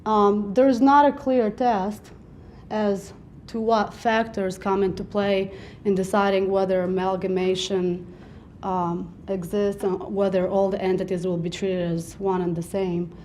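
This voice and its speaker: Woman attorney, mid-pitched, steady explanatory seminar delivery, pauses often. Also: slight background noise. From Romania. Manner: explanatory, measured, with frequent "um" pauses. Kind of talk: seminar presentation. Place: seminar room.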